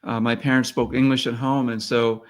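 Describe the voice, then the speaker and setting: A man's voice: mid-range voice, little pitch movement, normal speed. A man hosting a podcast interview, encouraging his guest and asking follow-up questions with light fillers. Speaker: podcast host. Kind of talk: conversational interview.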